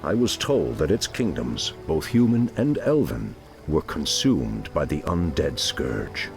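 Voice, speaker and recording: An actor playing a solemn, noble night elf druid leader in a fantasy video game, grave, formal and full of authority. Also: male voice, a bass voice, very animated, a little slow, music in the background, full character voice. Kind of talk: dramatic video game cutscene acting.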